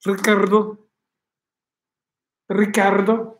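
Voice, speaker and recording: An upbeat man in his thirties hosts a casual home livestream, chatting with viewers and reading out their comments. His voice sits high for a man. It is picked up on a laptop webcam mic in a living room. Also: flat delivery, drawn-out words, a little hiss or hum. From Belgium. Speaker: livestream host, coach.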